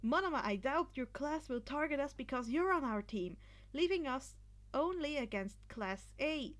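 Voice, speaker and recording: A woman's voice: high voice, expressive, normal speed. A woman voicing an earnest, analytical teenage boy who explains at length, in an amateur story reading. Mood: eager, explaining. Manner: rambling, explanatory. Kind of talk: amateur fan fiction audio reading.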